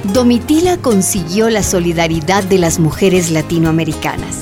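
A woman's voice: fairly low pitch, expressive, moderate pace. A woman narrator reading scripted radio drama narration. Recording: music behind voice.

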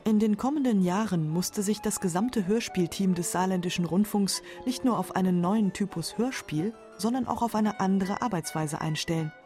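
A woman's voice: mid-range voice, normal speed, hardly any pauses, dark tone, soft background music. A woman reading scripted radio documentary narration.

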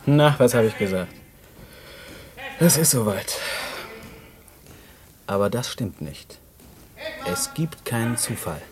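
An actor playing a decent, thoughtful prisoner, speaking politely and hesitantly in a naturalistic radio-play style. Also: male voice, mid-range voice, expressive, takes it slowly, pauses often, a little mellow. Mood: gently insistent. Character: earnest, decent, thoughtful male prison cellmate, no crook.

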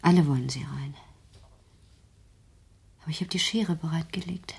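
An actress playing a fearful, reclusive daughter in a German radio drama, speaking in a hushed, brooding, intense way with a whispery voice. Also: female voice, low-pitched, moderate pace, exaggerated voice. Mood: fearful, paranoid. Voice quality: breathy, whispery. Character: fearful, paranoid, reclusive daughter haunted by persecution.

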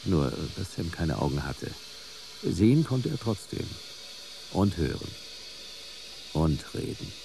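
An actor playing a laconic, wry hard-boiled private detective in a German sci-fi radio crime drama, speaking in dry, clipped sentences. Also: male voice, deep voice, very animated, slow, gravelly, long pauses, noisy background, heavily acted. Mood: dry, wry narration.

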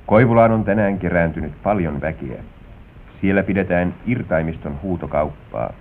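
A man's voice: low-pitched, lively intonation. A man narrating an old Finnish radio drama in a solemn, scripted way. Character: solemn male radio-play narrator setting the scene. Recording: old narrow-band radio recording, faint noise.

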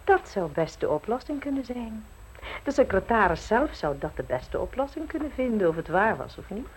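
An actress playing an ambitious, worldly widow in a radio play, coolly flirtatious, arch and knowing. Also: female voice, a little high, very animated, moderate pace, slightly rough, poor audio.

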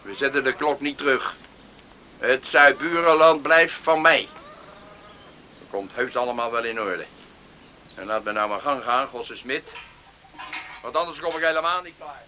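A man acting a stubborn farmer in a Dutch radio play, with terse, forceful delivery. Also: medium pitch, unhurried, pauses often. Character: stubborn, gruff farmer who brooks no advice. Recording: noisy radio play recording.

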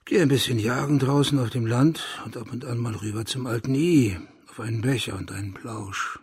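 An actor playing a burly, blunt and garrulous hunter from an old landed family in a German radio drama, with a gravelly, husky voice. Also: male voice, medium pitch, normal speed, darkish tone. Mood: garrulous, nostalgic. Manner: blunt, rambling, earthy, self-correcting.

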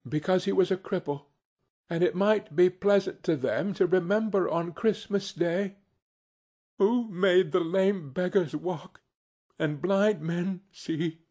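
A man playing a gentle, affectionate, poor Victorian father in a storybook, earnest and emotional. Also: mid-range voice, huge pitch swings, takes it slowly, breathy edge, full character voice. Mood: tender, moved.

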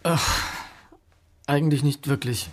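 An actor playing a courteous, bookish young gentleman in a radio drama, speaking with restraint and some hesitation. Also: medium pitch, steady tone, slow. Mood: calm, soothing. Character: polite, reserved bookish young gentleman lodger. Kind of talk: German radio drama acting. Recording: clear music behind voice.

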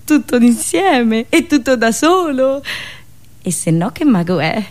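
A woman radio host delivering a theatrical, satirical monologue with ironic, deliberate phrasing and repetitions. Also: a little high, big pitch swings.

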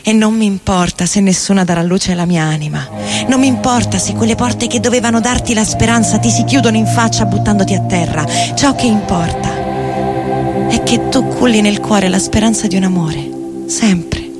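Female reader for a confessional dramatic monologue, solemn, measured, guitar and loops clearly behind. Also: mid-range voice. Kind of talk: dramatic literary monologue over music. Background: guitar and electronic loops.

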